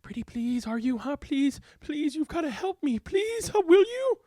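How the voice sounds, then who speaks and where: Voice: high-pitched, very animated, normal speed, warm tone, no room sound, studio quality, voice pushed up. A reader voicing a desperate servant musician who begs in a wheedling way, often in a whisper. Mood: desperate pleading. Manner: wheedling, begging.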